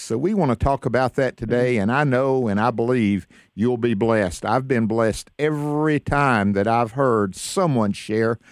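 A man's voice: medium pitch, dry recording, clean studio sound. A man hosting an interview show, speaking as a radio presenter to listeners and guests. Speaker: host.

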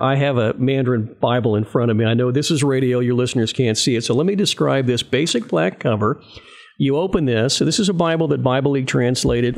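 Gravelly, emphatic male storyteller. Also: medium pitch. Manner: emphatic, preacher-like, repeats "right?" and "I'm telling you". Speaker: ministry guest on a radio show. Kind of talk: animated storytelling testimony.

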